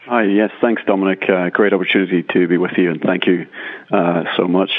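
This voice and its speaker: A middle-aged man, a critical care consultant speaking in a measured way and repeating words as he thinks, in a remote podcast interview. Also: sits low, steady tone, dry recording. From Northern Ireland. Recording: tinny phone-like line, dry.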